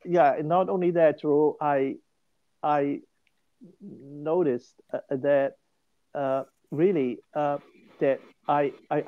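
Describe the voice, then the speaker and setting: A man's voice: fairly high pitch, no room sound, narrow, tinny audio. An older man from Hong Kong tells his life story as an interview guest. He speaks with emphasis and often restarts his phrases. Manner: animated and emphatic, many restarts.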